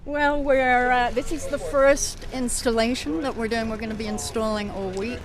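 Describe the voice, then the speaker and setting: A woman organizer explaining an installation in a casual, upbeat way. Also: a little high. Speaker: project organizer. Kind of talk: casual interview.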